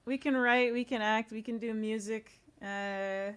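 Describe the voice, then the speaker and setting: A woman's voice: a little high, steady tone, unhurried, clean tone. A woman speaking informally and earnestly as a guest in a casual interview. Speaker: guest, audio series co-creator.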